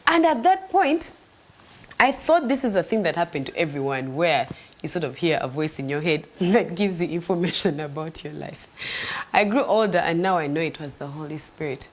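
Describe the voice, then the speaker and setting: A young woman, telling a faith testimony in an earnest, animated way that sometimes drops to a soft near-whisper. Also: low voice, normal speed. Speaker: host sharing a faith testimony. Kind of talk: devotional storytelling.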